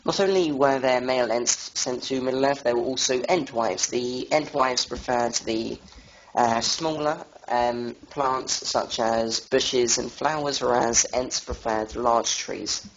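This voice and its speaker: A young man with a cold leads a lore tour over voice chat, stopping often on uh and um. His voice sits at an ordinary middle pitch. The audio is dull and lo-fi, with a faint hiss underneath. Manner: halting, full of uh and um fillers. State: has a cold. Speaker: tour guide leading a lore tour.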